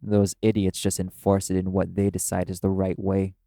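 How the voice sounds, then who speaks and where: Man playing a low, mellow, scornful demon. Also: completely flat delivery, moderate pace, vocal fry, no echo, studio quality. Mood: cynical, dismissive. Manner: scornful, blunt, swearing. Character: brash, cynical, self-serving male demon from hell. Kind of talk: dramatic audio roleplay addressed to the listener.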